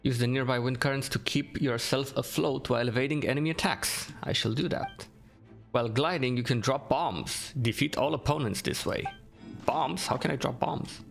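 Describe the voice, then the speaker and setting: A man's voice: medium pitch, normal speed, creaky, faint music behind. A man giving casual, easygoing let's play commentary as a streamer, talking to himself over game sound. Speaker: gaming streamer. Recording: game sound and noise behind.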